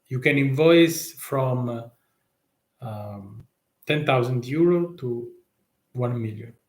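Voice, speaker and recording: A man with an Italian accent talks through a live stream, stopping and restarting often and tossing out rhetorical questions. His voice sits in a middle register. The room around him rings with a noticeable echo. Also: mid-range voice. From Italy. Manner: halting, many fillers and restarts, rising rhetorical questions. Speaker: tax consultant.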